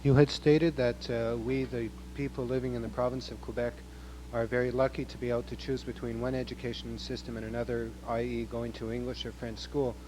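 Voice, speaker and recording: A man's voice: mid-range voice, level tone, fairly quick, few pauses. A man in an auditorium audience asking a long, earnest question into a microphone. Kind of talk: question from the floor. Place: university auditorium. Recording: noticeable hum, archive tape.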